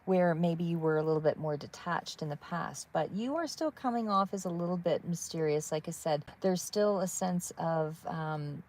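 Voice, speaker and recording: A woman giving a live tarot reading in a calm, soothing voice that wanders between thoughts with many fillers. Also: on the low side, normal speed, few pauses. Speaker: tarot reader.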